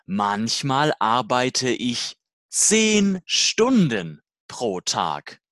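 A speaker reading a scripted language-learning dialogue slowly and deliberately, in short, simple learner-level sentences. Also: medium pitch, wildly swooping pitch, a bit bright, no echo, studio quality.

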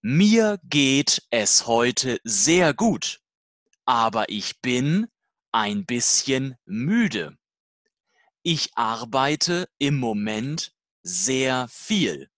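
A speaker reading a scripted language-learning dialogue slowly and deliberately, in short, simple learner-level sentences. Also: mid-range voice, sing-song, a bit bright, no room sound, clean studio sound.

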